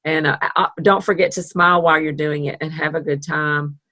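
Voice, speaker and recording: Woman, low voice, friendly art instructor on a video call, casual and encouraging. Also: steady tone, fairly quick, bright, forceful tone. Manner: chatty, self-deprecating, upbeat. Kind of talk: online art class teaching.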